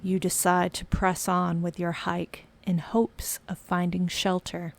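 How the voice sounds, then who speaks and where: Casting: female meditation guide, unhurried and breathy, lowish voice with heavy creak, soft half-whispered narration. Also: completely flat delivery. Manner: soft, slow, partly whispered.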